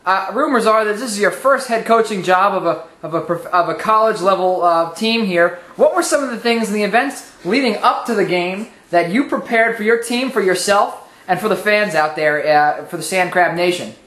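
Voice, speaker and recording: A man's voice: sits high, moderate pace, few pauses, fairly bright tone. A man playing a reporter who eagerly and pushily calls out for the coach's attention. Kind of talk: comic press conference skit. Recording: echoey room.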